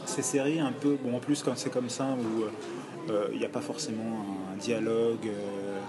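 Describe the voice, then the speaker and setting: A man's voice: on the low side, fairly even intonation, moderate pace. A man chatting casually as a podcast guest, hesitant and often searching for his words. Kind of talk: casual chat about TV series. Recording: loud crowd noise around the voice. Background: loud crowd chatter.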